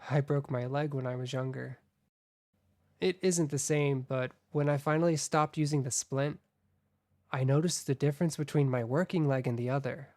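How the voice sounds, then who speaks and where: A man plays a timid, kindly attendant to royalty in an audio drama. His medium-pitched voice is hesitant and deferential, in a close, dry recording. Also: steady tone, moderate pace, a little mellow, no echo, close to an everyday voice. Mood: gentle, sincere. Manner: hesitant, polite.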